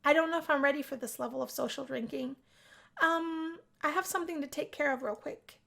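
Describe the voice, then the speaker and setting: Woman as hesitant young female student, visual novel heroine; high, shy, tentative. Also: fairly quick, gravelly, fairly bright tone. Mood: nervous.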